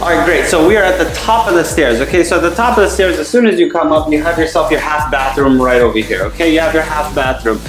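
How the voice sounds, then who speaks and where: A man's voice: a little high, quick pace, hardly any pauses. A man from Afghanistan walks through a home and talks loosely and emphatically, restarting his sentences as he goes. His voice bounces around the bare, echoey rooms, and faint music plays underneath. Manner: rambling and emphatic, with frequent restarts and mild swearing. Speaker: mortgage broker giving a home tour. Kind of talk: casual walkthrough vlog. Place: empty furnished house indoors.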